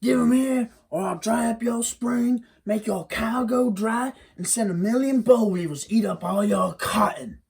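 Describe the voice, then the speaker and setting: A storyteller doing the gravelly hairy man. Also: medium pitch, steady tone, a little slow, full character voice. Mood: furious. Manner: boastful, threatening. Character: menacing hairy swamp monster, male. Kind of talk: oral folktale telling.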